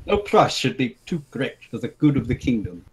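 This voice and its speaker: A man's voice: medium pitch, sing-song, moderate pace, a little mellow, a little hiss or hum, close to an everyday voice. A man voicing a proud, upset king with dramatic defiance in a story reading. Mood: haughty, outraged.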